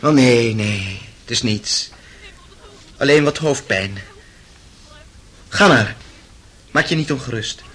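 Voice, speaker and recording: A man playing a bitter, tormented intellectual gentleman in a radio play, with a biting, commanding and sardonic manner. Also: mid-range voice, animated, takes it slowly, long pauses, slightly rough, exaggerated voice. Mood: sardonic, weary. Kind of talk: Dutch radio play acting.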